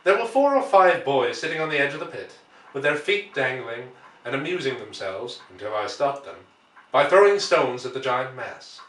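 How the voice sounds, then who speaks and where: A man reading a classic novel aloud as an audiobook narrator, with measured, deliberate phrasing. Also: medium pitch, very animated, fairly bright tone. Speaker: audiobook reader. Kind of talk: dramatic literary narration. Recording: roomy, distant microphone.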